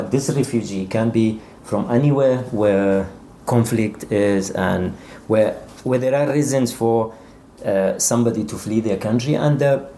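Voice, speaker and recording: A man speaking as an author in a literary interview, thoughtful and halting, often repeating words as he searches for the next phrase. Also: fairly low pitch, dark tone, a little hiss or hum. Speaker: author interviewed about his novel.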